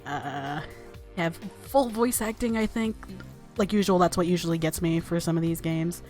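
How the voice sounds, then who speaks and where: Female let's play host, slightly low pitch, informal, rambling, faint game music behind. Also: normal speed. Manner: rambling, self-deprecating, informal. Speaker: gaming video host. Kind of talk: casual let's play commentary, reading game text aloud. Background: soft game music.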